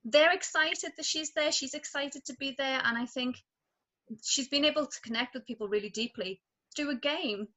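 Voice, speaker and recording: A woman talking on a video call about her children's group, effusive and full of praise. Her voice is bright and sits a little high. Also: normal speed. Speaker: parent and group facilitator.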